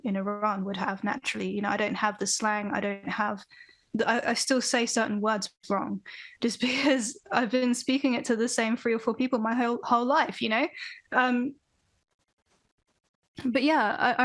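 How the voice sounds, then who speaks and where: A young woman speaks on a video call from home, her voice a touch high. She talks thoughtfully and with animation, circling back over her words as she works out her ideas. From Britain. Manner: thoughtful, animated, many restarts. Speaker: podcast guest, band vocalist. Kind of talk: casual interview chat. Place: home room with bookshelves. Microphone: video call, webcam.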